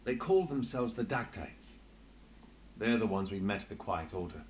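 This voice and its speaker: A male actor as an earnest adventuring leader in a video game, with lively, varied intonation. He is firm and direct, and there is faint noise behind the voice. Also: on the low side, normal speed, natural voice. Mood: businesslike. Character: firm, earnest adventurer leading a party of companions.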